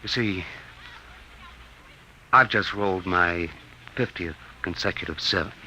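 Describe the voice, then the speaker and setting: An actor playing a sombre, resolute man dictating a farewell report in a 1950s radio drama, deliberate and confiding. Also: deep voice. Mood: grave, resolute. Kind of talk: 1950s radio drama acting, first-person narration. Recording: noisy old radio broadcast recording.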